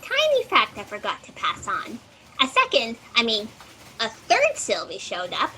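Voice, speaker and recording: A woman's voice: fairly high pitch, wildly swooping pitch, unhurried, pushed and bright, slight background noise, full character voice, poor audio. A woman playing a scatterbrained, eager fact-checker in a comedic sci-fi audio drama, chirpy and rambling as she goes. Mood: apologetic, chipper. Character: scatterbrained, eager, chatty female fact-checker in a cosmic office.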